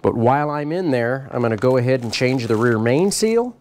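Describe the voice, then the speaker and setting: A middle-aged man giving a casual walk-around tour of his race car shop, explaining parts in a relaxed, practical way. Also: medium pitch, fairly quick, slight background noise. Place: large garage workshop, indoors.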